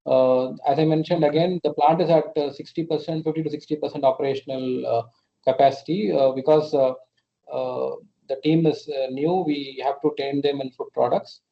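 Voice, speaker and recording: A man's voice: a little high, steady tone, normal speed, gravelly. A man with an Indian accent answering questions as a company executive on an earnings call, hesitant and often repeating words as he explains. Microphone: conference call connection. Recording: muffled, band-limited conference call audio.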